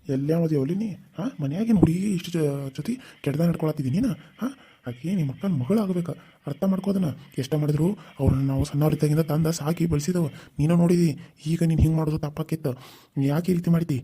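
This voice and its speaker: A man's voice: fairly high pitch, fast talker, warm tone, a little hiss or hum, close to an everyday voice. A man playing a stern protective uncle. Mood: furious. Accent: North Karnataka Kannada. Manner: scolding, threatening. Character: stern protective older uncle, head of house.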